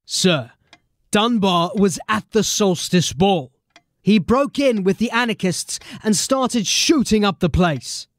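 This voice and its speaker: A man playing a determined, embattled police sergeant in a dramatic audio drama, speaking earnestly and deliberately. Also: high-pitched, lively intonation, no echo, studio quality, heavily acted. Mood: earnest, urgent.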